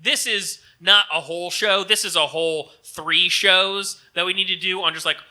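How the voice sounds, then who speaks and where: A man's voice: high voice, steady tone, normal speed, pushed and bright. A man co-hosting a comedy show in casual banter, stammering and repeating the starts of his sentences. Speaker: co-host of a local comedy show.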